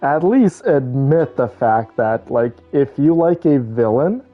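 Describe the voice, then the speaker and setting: A man's voice: medium pitch, animated, slow pace. A man giving informal, opinionated reaction commentary while playing a game, in a conversational style. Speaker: game streamer. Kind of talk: casual reaction commentary over gameplay. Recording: slightly dull audio, game music behind.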